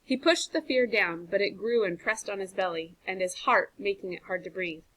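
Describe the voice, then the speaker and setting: A woman teacher reading a chapter book aloud to a class, with clear, expressive narration. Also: mid-range voice, moderate pace, poor audio. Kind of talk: storybook read-aloud for a class.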